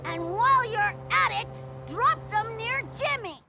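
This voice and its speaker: Bratty, commanding kid sister for a cartoon game; shrill-high and threatening. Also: expressive, unhurried, gravelly, heavily acted. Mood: bossy, threatening. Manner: commanding, loud. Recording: loud music behind the voice.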